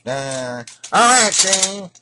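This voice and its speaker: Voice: medium pitch, very animated, extremely slow. Someone doing a swaggering cartoon cowboy villain. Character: blustering cartoon cowboy villain, male, gunslinger threats. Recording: noisy home recording with clicks.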